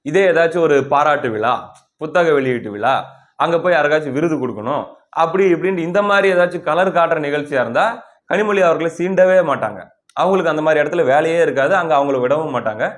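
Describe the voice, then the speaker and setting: Tamil man giving conversational political commentary. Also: fairly high pitch. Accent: Tamil Nadu Tamil. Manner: explanatory, poses rhetorical questions and answers them.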